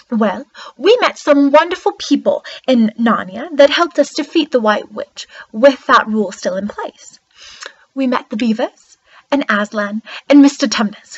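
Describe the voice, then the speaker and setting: A woman's voice: high voice, fairly bright tone, husky, dull audio, heavily acted. A woman playing an eager, wide-eyed young girl queen from a magical land in an earnest storybook monologue. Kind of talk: character monologue.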